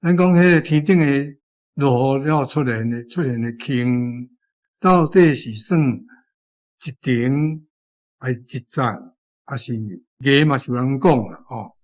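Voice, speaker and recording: A man's voice: medium pitch, a little slow, a little mellow. A man with a Taiwanese accent teaching informally, conversational and repeating key phrases as he explains.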